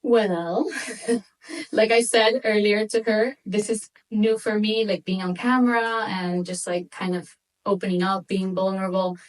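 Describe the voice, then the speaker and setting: A young woman from Venezuela who moved to the United States as a child, telling stories casually as an interview guest, with animated informal talk and Spanish words dropped in. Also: mid-range voice, a little slow, recorded from a distance, low-quality recording. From Venezuela, moved to the United States at eight. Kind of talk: casual conversational storytelling.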